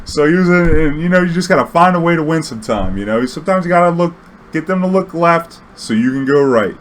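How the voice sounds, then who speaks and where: A man's voice: on the high side, fast talker. Slangy man storytelling over background noise. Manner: slangy, swearing, animated retelling with acted-out dialogue. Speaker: storyteller recounting his own tournament games. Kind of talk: casual spoken anecdote.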